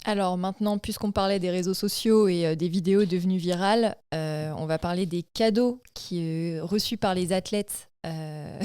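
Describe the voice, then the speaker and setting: A woman hosting a casual chat, calmly laying out topics and quizzing her co-hosts. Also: lowish voice, moderate pace, clear, resonant tone, no echo, clean studio sound. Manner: steady, lays out topics and quizzes the others. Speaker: host.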